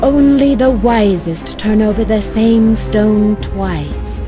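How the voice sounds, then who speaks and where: An actress playing a poised geisha in a fantasy video game, speaking in a measured, formal way. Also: female voice, medium pitch, natural voice. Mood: proud, composed. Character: poised, proud geisha healer in a fantasy realm. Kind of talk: video game cutscene acting. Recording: game music behind.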